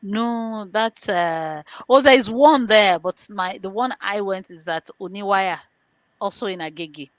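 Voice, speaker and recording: A woman's voice: medium pitch, a little slow, dry recording. A woman with a Nigerian accent answering as an interview guest, explaining things conversationally in simple terms. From born in London, grew up in Nigeria. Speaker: interview guest, information governance specialist.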